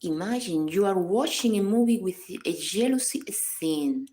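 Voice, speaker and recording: A woman leads a guided meditation at a very slow, unhurried pace. Her voice is soft-edged and mellow, sitting at a medium pitch. She gives each instruction calmly and in measured phrases. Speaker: psychologist guiding a meditation. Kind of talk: scripted guided meditation narration.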